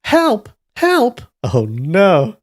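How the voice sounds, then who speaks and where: A man's voice: mid-range voice, expressive, drawn-out words, a little mellow, slightly rough, pitched above the performer's own voice, full character voice. A man voicing a frightened young woman screaming for help in a storybook. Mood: panicked.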